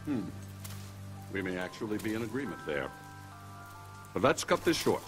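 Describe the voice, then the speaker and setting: An actor playing a haughty, condescending mage with dry, formal delivery in a fantasy video game. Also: male voice, lowish voice, big pitch swings, normal speed, big-room sound, rough recording. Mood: dry disdain. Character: haughty, dry-witted male mage, scholarly and arrogant. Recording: game music behind the voice.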